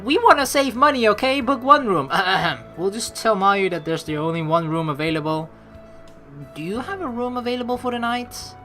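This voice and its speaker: Animated low-voiced woman gamer. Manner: expressive, playful, chatty. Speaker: let's play host. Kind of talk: gameplay commentary, reading game text aloud.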